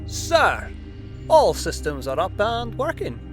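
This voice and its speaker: A man playing an eager, dutiful and excitable robot shuttle pilot in an audio drama. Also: high-pitched, slow pace, faint music behind, full character voice.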